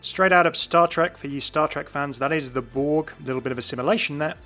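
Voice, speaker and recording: A man hosts a gaming video with explanatory commentary. His voice sits a bit high for a man. Light music runs behind him. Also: a little fast, pushed and bright. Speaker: video host and lore commentator. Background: background music.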